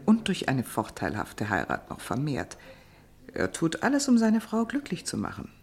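A refined society lady from a radio play, with very lively intonation. She is gossipy but careful. She speaks expressively, as if confiding. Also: sits low, normal speed, heavily acted. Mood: teasing, curious. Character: cautious, gossipy society lady at a dinner party. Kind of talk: German radio play dialogue.

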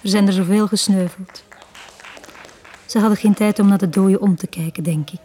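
A mellow-voiced Flemish woman, played by an actress, quietly confides her sad past in a 1980s radio drama. She is intimate and wistful, often dropping near a whisper. Faint music plays behind her. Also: medium pitch, fairly even intonation, moderate pace, some chatter behind, close to an everyday voice. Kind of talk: 1980s Dutch-language radio drama acting.

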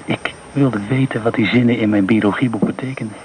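An actor playing a haunted man who speaks in a slow, brooding monologue about blood and war, in a radio play. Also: lowish voice, noisy background, lightly acted. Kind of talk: radio drama within a radio broadcast.

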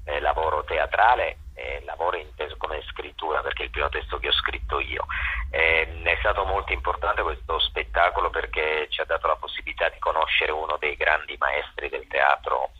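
A muffled, narrow phone line carries a very low, husky male voice. He is an interviewed theatre artist, answering in halting phrases. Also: fairly even intonation. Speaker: theatre actor and playwright being interviewed. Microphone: telephone.

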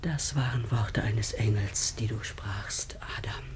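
An actress playing a grieving, devoted aged wife in a classical radio play, pleading in a broken, breathy, declamatory voice. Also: female voice, rumbling low, unhurried, a little mellow, in a whisper. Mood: hushed awe. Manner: anguished, declamatory. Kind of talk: solemn classical German radio play acting.

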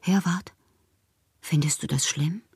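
A woman playing a moody, fanciful bohemian poet in a radio drama, reading her confessional letters aloud with expressive, theatrical, poetic phrasing. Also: low-pitched, takes it slowly, slightly rough, soft background music, full character voice. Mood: dreamy, intimate.

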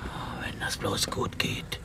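An actor playing a nervous, sarcastic gang member in a radio crime comedy. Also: male voice, takes it slowly, in a whisper, close to an everyday voice. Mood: tense. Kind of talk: 1970s German radio crime comedy acting.

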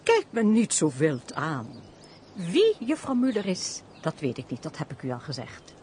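An actress playing a sensible, dryly teasing countess, speaking formally in a Dutch period radio play. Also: female voice, lowish voice, huge pitch swings, normal speed, darkish tone, slight background noise. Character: teasing, sensible countess, elder-sisterly and worldly.

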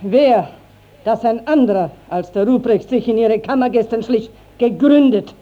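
A woman's voice: a little high, normal speed, natural voice. An actress playing a garrulous, righteous village mother, declaiming in a classical German radio drama. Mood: bitter indignation. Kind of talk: classical German stage-style radio acting. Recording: dull 1950s radio drama recording.

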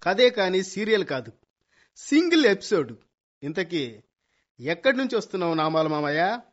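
Man acting cocky high-pitched young amateur detective. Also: very animated, normal speed. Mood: teasing, suspicious. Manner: bombastic vows, English words mixed in. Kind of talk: Telugu comedy radio play acting. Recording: dull lo-fi radio play recording.

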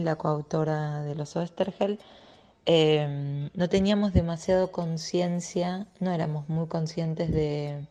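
A woman with an Argentine accent speaks in a low, slightly soft-edged voice. She answers interview questions reflectively, pausing and searching for words as she explains her work as a writer. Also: lo-fi sound.

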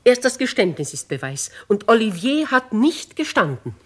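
A woman's voice: a little high, sing-song, moderate pace. A woman playing a dignified, bold older noblewoman writer in a 1950s German radio drama, with theatrical, declamatory phrasing. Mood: challenging.

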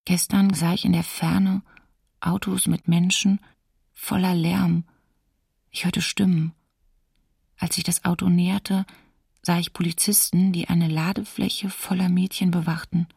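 An actress playing a diarist under occupation, reading entries in a hushed, subdued way for a documentary radio drama, slightly off the mic. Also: female voice, fairly low pitch, level tone, measured pace, creaky, slightly rough, dry recording, clean studio sound, recorded from a distance, exaggerated voice. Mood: hushed, shaken. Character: woman diarist soberly witnessing occupation terror.

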